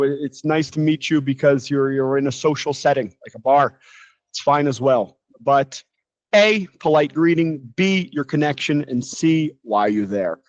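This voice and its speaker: A man's voice: mid-range voice, no room sound, lo-fi sound. A man who grew up bilingual in Portuguese and English, teaching a chatty English lesson and asking listeners questions. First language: bilingual, grew up speaking Portuguese and English. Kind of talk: informal English lesson monologue.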